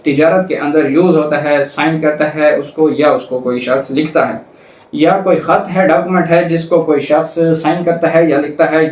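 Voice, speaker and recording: A man's voice: a little high, darkish tone. Male Pakistani law lecturer, methodical explainer, heard in a very echoey room at some distance from the mic. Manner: methodical, didactic, explains with everyday examples. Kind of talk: explanatory lecture, reading statute clauses aloud then explaining.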